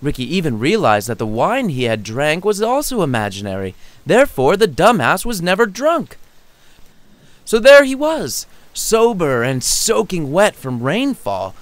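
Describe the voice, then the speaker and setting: A man's voice: fairly high pitch, huge pitch swings, measured pace, dry recording. A man reading his own comedic short story in a wry, theatrical narrating style.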